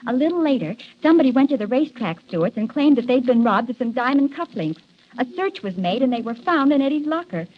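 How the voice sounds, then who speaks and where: A woman's voice: high-pitched, expressive, fast talker. An actress playing an anxious young woman, earnest and worried, in old radio drama style. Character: anxious young woman pleading for her brother. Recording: dull old radio recording.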